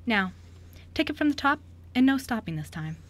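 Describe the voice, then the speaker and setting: A woman playing a stern but kindly older music teacher in a radio play, firm, measured and encouraging. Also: fairly high pitch, very animated, a little hiss or hum. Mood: wise, encouraging. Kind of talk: amateur radio play acting.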